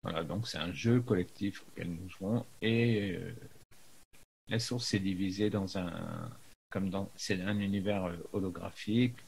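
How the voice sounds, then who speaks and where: A man giving an unscripted spiritual talk as a teacher, in a halting manner with frequent pauses in the middle of phrases. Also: low-pitched, steady tone, creaky, darkish tone, breathy edge. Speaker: spiritual teacher, video maker.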